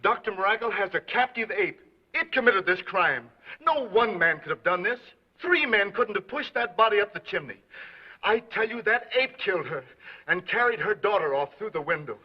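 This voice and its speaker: An urgent young accuser. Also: male voice, high voice, lively intonation, normal speed, breathy edge. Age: young adult. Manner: urgent, pleading. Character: earnest young man accusing a mad doctor. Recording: muffled 1930s film soundtrack.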